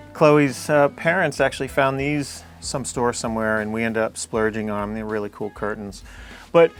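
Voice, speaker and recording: A man giving a casual, conversational tour of his own home as its owner. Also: mid-range voice. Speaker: homeowner giving a house tour. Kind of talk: casual house tour narration.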